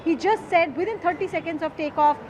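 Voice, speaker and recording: High-voiced Indian woman reporter, noisy outdoor audio. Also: fairly quick. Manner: emphatic, explanatory, rhetorical questions. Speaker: field news reporter. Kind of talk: live on-location news reporting. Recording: clearly noisy outdoor urban field audio.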